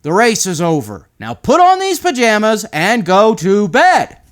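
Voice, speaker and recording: A man voicing a playful but firm dad in a children's storybook, loud and commanding as he orders bedtime. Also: sits high, huge pitch swings, unhurried, voice pushed up, exaggerated voice.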